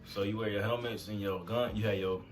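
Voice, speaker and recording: A man's voice: on the low side, steady tone, a little fast, over music. A young man co-hosting a vlog, talking about basic training in a laid-back, profane, matter-of-fact way. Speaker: vlog co-host, army veteran. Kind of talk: casual conversational chat.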